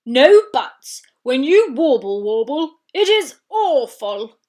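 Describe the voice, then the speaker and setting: A woman's voice: high voice, very animated, takes it slowly, a little mellow, exaggerated voice. A woman voicing a big, fat, bossy male walrus who gives stern orders in a storybook reading. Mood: angry, bellowing.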